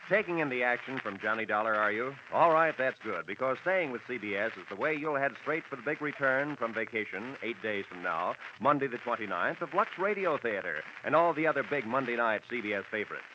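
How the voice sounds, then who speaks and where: A man's voice: mid-range voice, animated, a little fast, hardly any pauses. A man reading a network promotion as a radio announcer, upbeat and polished. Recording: noisy lo-fi old radio broadcast.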